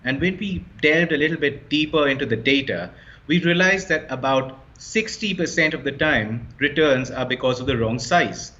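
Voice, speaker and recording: A man's voice: medium pitch, moderate pace, pushed and bright. A man explaining his startup as an interview guest, fluent and confident, stressing key points. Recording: slightly dull lo-fi sound.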